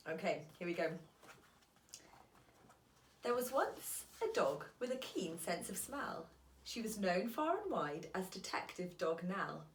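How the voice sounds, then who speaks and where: A woman reading a children's storybook aloud as a teacher, in an expressive, deliberate storytelling manner. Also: fairly low pitch, roomy sound, a little hiss or hum.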